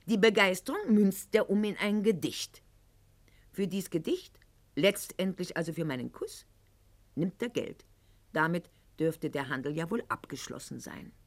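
An actress playing a proud, sharp-tongued aristocratic lady in a radio play monologue, speaking in an articulate, ironic and haughty manner. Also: mid-range voice. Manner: haughty, ironic, articulate, addressing a silent listener.

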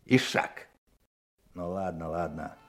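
An actor playing a pompous, skeptical policeman in a radio drama, explaining things in a patronising, emphatic, halting way. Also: sits low, steady tone. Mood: condescending. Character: pompous, skeptical male police colleague, sneering at a novice.

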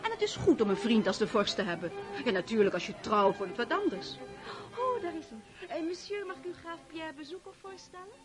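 Swooping high-voiced society hostess. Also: female voice, big pitch swings, brisk, music in the background. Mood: effusive, advising. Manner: effusive, coaxing, patronising. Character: gushing aristocratic society hostess, meddling and worldly. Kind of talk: radio drama acting.

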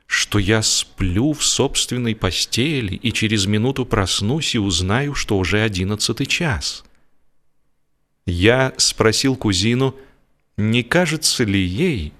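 Guy reading a funny classic story, expressive audiobook style, faint music behind him. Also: lowish voice. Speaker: audiobook narrator. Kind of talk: scripted humorous literary narration.

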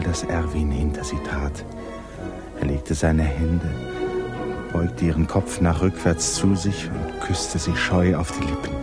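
An actor playing the narrator of a 1950s German literary radio drama, telling it in a measured, intimate way. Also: male voice, extremely low pitch. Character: male storyteller, measured, intimate observer of the lovers. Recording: 1950s radio production, loud music underneath. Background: music.

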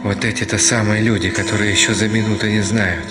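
Low-voiced brooding gentleman, loud music behind male actor. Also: flat delivery, close to an everyday voice. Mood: brooding, agitated. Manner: intense, deliberate, self-questioning, with swings from murmur to outcry. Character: brooding, agitated middle-aged gentleman reasoning feverishly with himself. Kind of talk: Russian radio drama acting, dramatic monologue. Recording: studio radio play with loud music.

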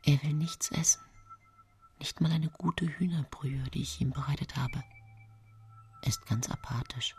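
Low voiced 1800s housewife telling her story in a radio play, measured n self-righteous, music playing behind. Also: darkish tone. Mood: plaintive. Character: 19th-century German housewife, outwardly pious and gentle, secretly cold. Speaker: actress narrating in a radio play. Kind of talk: first-person radio drama monologue. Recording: music bed behind studio voice.

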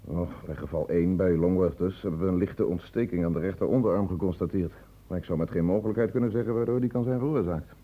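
Very dark-voiced, cautious male doctor. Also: low-pitched, fast talker, slightly rough. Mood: sceptical, explaining. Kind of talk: radio drama acting.

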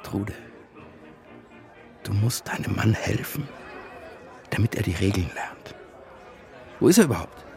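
A man's voice: lowish voice, expressive, a little slow, gravelly, pauses often, over music, crowd noise. Wry, worldly émigré film man. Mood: wry, persuasive. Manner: wry, expansive, advising. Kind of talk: radio drama acting.

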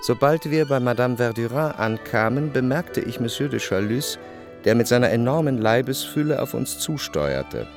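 An actor playing a refined first-person narrator in a radio drama, speaking in a measured, precise, reflective literary manner. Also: male voice, mid-range voice, animated, close to an everyday voice. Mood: detached, wry. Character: reflective, refined male narrator recalling Parisian salon society. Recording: faint music behind the voice.

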